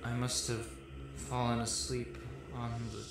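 A man's voice: medium pitch, completely flat delivery, unhurried, creaky. An actor playing a confused, anguished young man who has just died, questioning and plaintive in a stage-style drama, in an echoey room. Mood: bewildered. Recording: very echoey room, faint noise.

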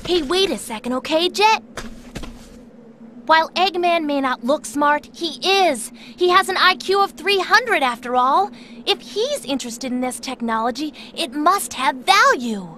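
A woman's voice: high-pitched, expressive, measured pace, sharp tone, a little hiss or hum, exaggerated voice. An actress playing a bossy, clever young female swallow in a video game, exasperated with her crew. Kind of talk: video game cutscene acting.

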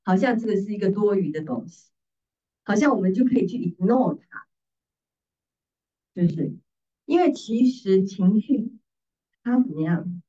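A woman's voice: mid-range voice, mellow. A middle-aged woman preacher giving a teaching sermon, repeating phrases and posing rhetorical questions. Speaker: preacher, pastor's wife. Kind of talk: sermon teaching in Mandarin with slides. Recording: distant mic, slightly muffled sound.

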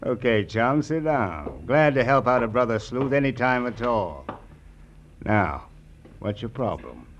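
Sneering crooked gumshoe in old radio drama, slangy, middling pitch, muffled recording. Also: male voice, very animated, a little slow, a little hiss or hum. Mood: smug. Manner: sarcastic, needling, slangy. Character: sly, sneering rival male private investigator. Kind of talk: 1950s radio detective drama acting.

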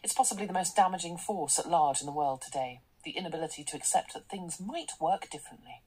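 Commanding businesswoman, low husky voice. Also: fast, darkish tone, poor audio. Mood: cool, curt. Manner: assertive, articulate, polished. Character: brisk, commanding corporate businesswoman boss, cool and self-assured. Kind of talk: radio drama acting.

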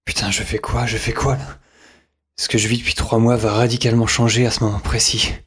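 A man's voice: mid-range voice, flat delivery, a little fast, husky. A young male survivor of a zombie apocalypse, acted by a man tells his story in an audio drama. He is lonely and shaken, and speaks in an informal, hesitant way. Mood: weary, anxious. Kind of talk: audio drama acting, first-person narration.

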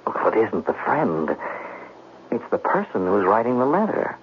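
A mannered schemer, dramatically animated. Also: male voice, lowish voice, normal speed. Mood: smoothly persuasive. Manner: mannered, articulate, evasive. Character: scheming, cultured gambler plotting murder, feigning bewildered innocence. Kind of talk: 1970s radio drama acting. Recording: muffled, noisy vintage radio recording.

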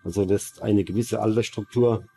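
A man speaks as an investigator in a documentary interview. His voice is fairly low and very even, and he feels his way through sentences, often rephrasing them. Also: a little fast, creaky.

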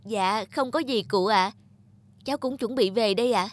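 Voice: medium pitch, moderate pace, natural voice. An actor playing an earnest, polite young male street seller in a cartoon. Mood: kind, earnest.

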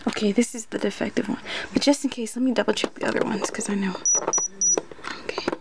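Soft-spoken ASMR woman, often whispering, noisy recording. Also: medium pitch, steady tone, fast, gravelly. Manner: hesitant, muttering to herself as an unsure student. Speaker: ASMR roleplayer. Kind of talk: ASMR roleplay, soft speech slipping into whispers. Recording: noisy close recording with clicks.

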